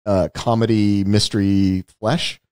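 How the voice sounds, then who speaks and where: Podcast co-host, man, low voice, deliberate and analytical, dry close mic. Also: flat delivery, a little mellow, clear, resonant tone, dry recording. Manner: thoughtful, repeats words while building a point. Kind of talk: casual movie discussion.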